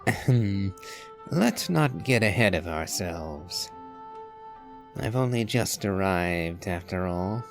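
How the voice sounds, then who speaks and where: A man giving casual, musing, measured commentary while playing a video game. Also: deep voice, expressive. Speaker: game streamer. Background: game music.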